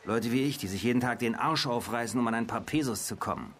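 An actor playing a wary, grumbling street hustler and reluctant informant in a radio crime play, slangy and evasive. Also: male voice, medium pitch, fast, a bit bright, slight background noise, close to an everyday voice. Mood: sardonic. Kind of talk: naturalistic German radio play dialogue.

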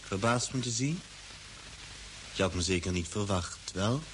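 An actor playing a calm, mocking, menacing intruder in a vintage radio play. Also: male voice, fairly low pitch, a little slow. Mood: sinister, taunting. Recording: hissy vintage radio recording.

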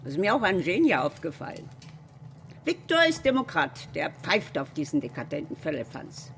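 An actress playing an outspoken grandmother in a German radio drama, with a blunt, animated manner. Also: low-pitched, normal speed, vocal fry, a little hiss or hum, heavily acted. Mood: scolding. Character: outspoken Russian grandmother, a chemist, scolding her family.

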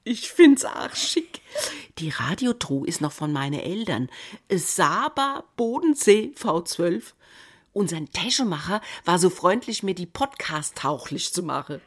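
A husky-voiced older lady chats merrily with her friend in a radio sketch. Her voice swings widely in pitch as she talks, and she laughs often. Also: sing-song, measured pace. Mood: chatty. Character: cheerful older woman hosting a friend.

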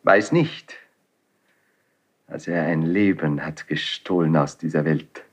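An actor playing a passionate, defiant young Spanish prince stricken with grief, declaiming classical verse in a 1970s German radio drama. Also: male voice, rumbling low, slow, breathy edge, heavily acted. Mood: grieving, reproachful. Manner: declamatory, impassioned. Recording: dull 1970s radio studio recording.

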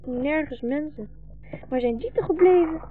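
Woman acting a small boy, high childlike voice, hungry, plaintive, trusting, muffled old radio-play recording. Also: normal speed, close to an everyday voice. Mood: wary. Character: hungry, trusting young boy, war orphan refugee. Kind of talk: 1960s Dutch radio drama acting.

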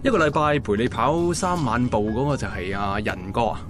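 Young male love interest played by a man, Hong Kong Cantonese, casual and evasive radio-drama dialogue, medium pitch, clear music bed. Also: normal speed, close to an everyday voice. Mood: probing.

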